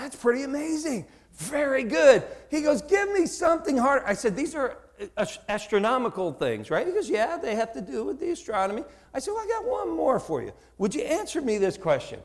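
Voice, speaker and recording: A high-pitched man with a New Jersey accent preaches with a voice that leaps up and down. He tells his stories animatedly, acting out every side of the dialogue and often repeating his words as he goes. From United States. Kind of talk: sermon with storytelling.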